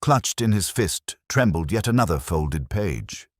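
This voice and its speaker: A man narrating a mystery story in a dramatic, scripted style with a measured, literary delivery. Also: low voice, lively intonation, no echo, clean studio sound.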